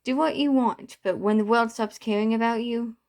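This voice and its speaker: A woman's voice: a little high, normal speed, a little mellow, pitched above the performer's own voice, natural voice. A woman voicing a bitter, distraught young man in a story, impassioned and protesting, with stumbles. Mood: upset, breaking down.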